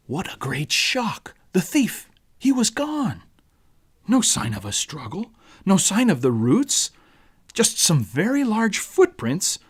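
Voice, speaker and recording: A man narrating a rhyming children's story as its author, in a dramatic, deliberate storyteller's manner. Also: fairly high pitch, husky. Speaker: author reading own book.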